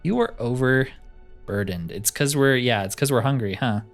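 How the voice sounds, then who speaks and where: A man's voice: medium pitch, moderate pace. A man giving casual gameplay commentary, thinking aloud with dry, joking asides. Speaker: gamer narrating a roleplay playthrough. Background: game music.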